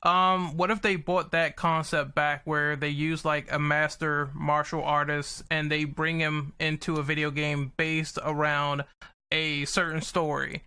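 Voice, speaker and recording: Man, bright forceful tone, smooth clear voice, casual panel talk. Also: on the high side, level tone, measured pace. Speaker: podcast panelist. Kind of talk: casual group discussion.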